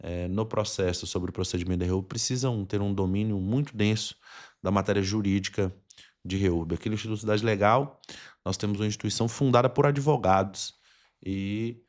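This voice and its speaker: A man's voice: fairly low pitch, fairly even intonation, a little fast, a little mellow. A man with a Brazilian accent hosting a podcast, explaining things in formal, wordy phrasing. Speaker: podcast host.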